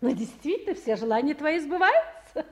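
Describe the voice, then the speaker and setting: An actress playing a middle-aged mother, tender but guarded, in theatrical 1950s Soviet radio play style. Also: sits high. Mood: gracious, coaxing. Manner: theatrical, expressive, slips between hushed pleading and brisk hospitality.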